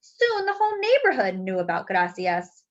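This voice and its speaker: A woman reading a children's picture book aloud in an expressive, careful, teacherly way. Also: mid-range voice, normal speed, muffled recording.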